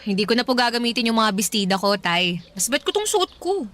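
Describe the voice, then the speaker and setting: Bright, high-voiced stubborn tomboy. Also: female voice, sing-song, normal speed. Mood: hurt, defiant. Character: heartbroken, stubborn young tomboy daughter. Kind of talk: Tagalog radio drama dialogue.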